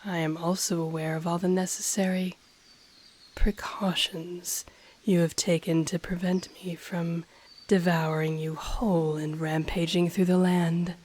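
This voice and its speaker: A woman voicing an ancient, powerful dragon in a fantasy audio roleplay, haughty and intrigued, speaking formally in a breathy half-whisper. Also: lowish voice, little pitch movement, a little mellow, slight background noise, close to an everyday voice. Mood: haughty, composed. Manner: slow, formal, deliberate, haughty. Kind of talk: scripted audio roleplay, intimate monologue to listener.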